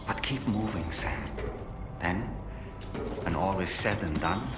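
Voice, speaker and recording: Theatrical, articulate, low-voiced game companion. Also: male voice, slow, over loud music. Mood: consoling, earnest. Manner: articulate, theatrical, formal phrasing. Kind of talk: video game cutscene acting.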